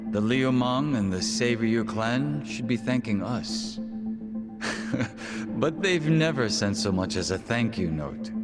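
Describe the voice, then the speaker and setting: An actor in a game dub playing a calm, smug underworld intelligence boss who speaks with measured, businesslike courtesy and veiled menace. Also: male voice, medium pitch. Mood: smooth, quietly menacing. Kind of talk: English video game dub acting. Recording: loud music under the voice.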